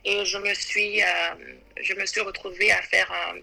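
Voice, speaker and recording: A woman's voice: medium pitch, flat delivery, normal speed, vocal fry, pushed and bright, low-quality recording. A woman from Ivory Coast, a guest on an interview podcast, talking in a hesitant way with many fillers and restarts. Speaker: podcast guest, web designer. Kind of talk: casual interview. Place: New York.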